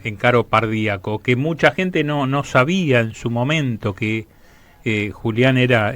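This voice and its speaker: A man's voice: medium pitch, fairly bright tone. A man with an Argentine Rioplatense accent hosting a casual late-night radio interview in an informal, conversational way. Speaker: radio host interviewing a guest.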